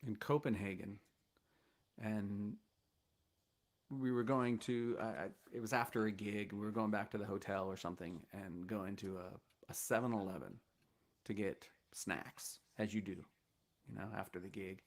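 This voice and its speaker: A man's voice: fairly low pitch, normal speed. A man from the United States, a guest on the show, telling rambling anecdotes with fillers in a casual chat. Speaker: guest bass player.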